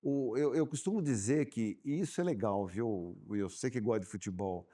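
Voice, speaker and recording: A man chatting on a podcast, telling animated stories and repeating words as he thinks. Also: fairly low pitch, normal speed. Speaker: guest business owner. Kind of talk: casual podcast chat.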